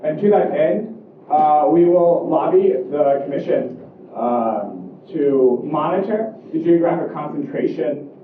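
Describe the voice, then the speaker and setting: A man's voice: a little high, expressive, slow, a little hiss or hum. A student presenter, a man, reading out his group's decisions. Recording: echoey hall from far off, tinny.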